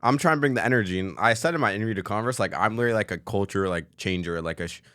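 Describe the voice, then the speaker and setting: Fast-talking deep-voiced young man. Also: a bit bright, no echo. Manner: fast, firm, assertive talker. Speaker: podcast co-host. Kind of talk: casual chat.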